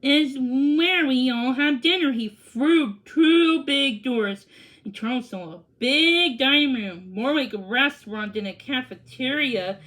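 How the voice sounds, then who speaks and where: High-voiced woman reading slowly aloud. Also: a bit bright, low-quality recording. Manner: halting reading, stumbles and repeats words. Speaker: storybook reader. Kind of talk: children's read-aloud narration.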